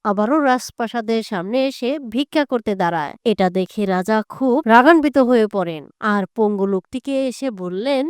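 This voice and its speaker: A woman's voice: a little high, a little fast, few pauses, a little mellow, clean tone, no echo, studio quality. A woman narrator telling a scripted moral story in a storytelling style.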